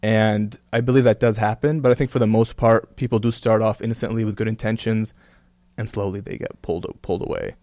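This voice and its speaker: Earnest, reflective man, low voice. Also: steady tone, a little fast, darkish tone, no echo. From Kuwait and Canada. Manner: earnest, reflective, many "you know" fillers. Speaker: guest, religious content creator. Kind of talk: casual interview talk.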